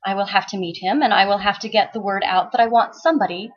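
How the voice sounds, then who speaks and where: A woman voicing a fiery, defiant madam in a story reading, shrill, commanding and dramatic. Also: fast, dull audio, lightly acted. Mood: determined.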